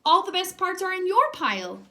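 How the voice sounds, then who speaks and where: A woman's voice: very high-pitched, sing-song, normal speed, pushed and bright, voice pushed up, heavily acted. A woman voicing a lazy, grumpy, easily duped male bear in a drawling, grumbling way for a children's storybook. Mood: indignant. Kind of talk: children's storybook read-aloud.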